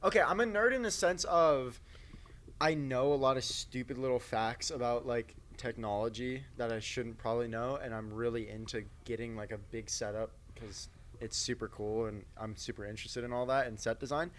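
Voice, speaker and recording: A man's voice: mid-range voice, steady tone, moderate pace, fairly bright tone, slight background noise. A young American man telling anecdotes casually among friends, in a slangy, boyish way, on a stick mic. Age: young adult. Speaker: podcast co-host. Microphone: stick microphone.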